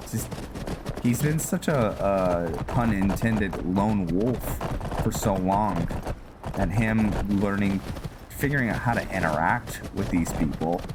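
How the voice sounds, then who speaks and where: Noisy recording, man, lowish voice, unhurried pace, casual gaming host, rambling, halting, thinking aloud. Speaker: gaming video host narrating a roleplay playthrough. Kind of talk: casual, rambling game commentary.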